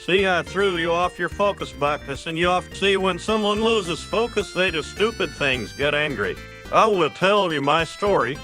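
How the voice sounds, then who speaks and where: Guy doing a cartoon frog teacher, high and sharp voice, preachy lecturing, music behind. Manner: lecturing, explanatory. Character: frog mentor, male, patient, preachy, teaching patience. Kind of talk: amateur comic dub acting.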